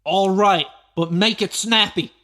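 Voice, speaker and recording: A man's voice: high-pitched, measured pace, sharp tone. A man playing a blustering nightclub boss and emcee in a radio play, bombastic and emphatic, with crowd sounds behind. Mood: irate.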